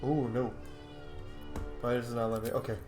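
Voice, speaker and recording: A man's voice: mid-range voice, little pitch movement, moderate pace. A man giving casual, rambling, geeky commentary as he plays a video game. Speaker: gaming streamer, player. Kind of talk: casual let's play commentary. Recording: roomy echo, faint game music. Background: faint game music.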